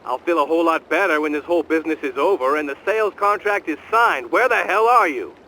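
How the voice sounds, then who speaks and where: Blustering middle-aged boss: high, hard-edged male voice, brusque, impatient, faint noise behind. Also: quick pace, sharp tone, low-quality recording. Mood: impatient, exasperated. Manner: brusque, exasperated. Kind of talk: video game voice acting.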